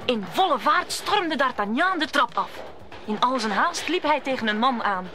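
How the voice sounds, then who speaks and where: Female narrator for audio drama, slightly high, bright storytelling read. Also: animated, normal speed, soft background music.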